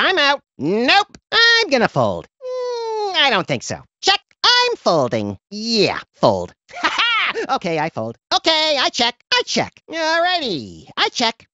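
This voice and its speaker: A man's voice: extremely high pitch, dry recording, muffled recording, full character voice. A male actor playing a small, violent, gleeful rabbit-like video game creature with a flippant, theatrical manner. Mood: casual, breezy. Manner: flippant, theatrical, deadpan absurd menace. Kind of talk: comedic video game voice acting.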